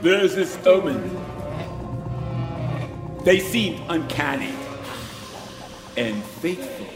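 A man recites very slowly and dramatically. His fairly high voice swings up and down expressively, and each phrase is drawn out. Clear music plays underneath. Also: sing-song, reverberant, long reverb tail.

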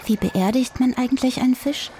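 An earnest schoolgirl in a children's story, acted by a woman narrator. She is worried and sulky, with a raised, girlish pitch and a stammering, murmuring manner. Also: fairly quick, warm tone, a little hiss or hum, close to an everyday voice. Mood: quiet, anxious. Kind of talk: children's audiobook character acting.

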